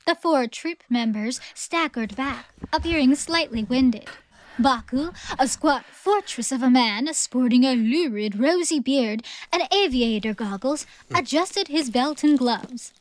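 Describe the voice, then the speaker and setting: A woman's voice: high voice, animated, a little slow, poor audio. A woman narrating a theatrical audiobook from a script, in a storytelling style.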